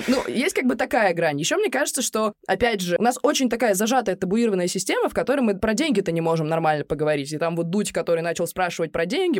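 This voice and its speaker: A woman's voice: medium pitch, darkish tone, no echo, studio quality. A young woman co-hosting a podcast, giving her opinions in a casual, slangy, rambling way. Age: young adult. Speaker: podcast co-host.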